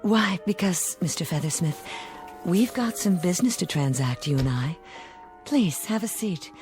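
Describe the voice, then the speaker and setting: An actress playing a mysterious travel agent in an audio drama, courteous, coaxing and knowing. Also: female voice, on the low side, expressive, normal speed, darkish tone, soft background music, lightly acted. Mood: smoothly inviting. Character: suave, mysterious female travel agent, sly tempter.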